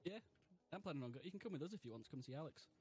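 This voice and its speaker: Young male streamer, lighter-pitched voice, self-mocking, giggly, gaming chatter. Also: lively intonation, rapid-fire, a little mellow, soft background music. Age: 20s. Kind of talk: casual gaming chat.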